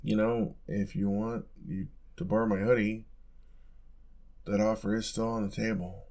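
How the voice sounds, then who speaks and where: A young male roommate played by a man talks in a low voice with plenty of gravelly fry. He is caring and lightly teasing, reassuring in a casual way. The delivery is intimate audio roleplay in an ASMR style. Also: level tone, moderate pace, a little mellow. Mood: gentle, caring.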